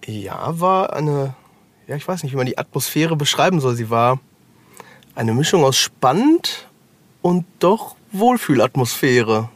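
Thoughtful man, mid-pitched voice. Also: sing-song, a little slow, dry recording. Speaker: guest, author. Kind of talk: casual conversation, reflective.

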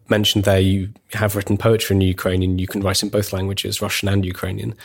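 A man hosting a podcast, asking a guest measured, polite questions. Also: lowish voice, gravelly, slightly rough. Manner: measured, polite, with small listening murmurs. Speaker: podcast host. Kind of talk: interview questions.

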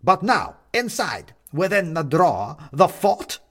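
A man voicing a small plastic goblin king figurine, grating and gleeful, chanting slowly in rhyme. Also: high-pitched, very animated, a bit bright, pitched above the performer's own voice, full character voice. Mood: relishing. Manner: slow sing-song rhyming chant.

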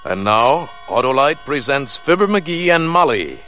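A man's voice: medium pitch, very animated, bright, forceful tone, soft background music. Male suspense-show announcer, vintage radio style, dramatic varied intonation, mid register, musical backing. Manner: dramatic, measured.

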